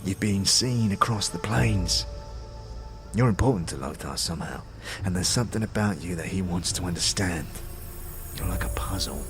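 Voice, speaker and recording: Guy doing a scornful talking skull, deep voice, guarded streetwise rebel, fantasy audio drama w music under it. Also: normal speed. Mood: taunting. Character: bitter, conspiratorial dead anarchist, now a talking skull.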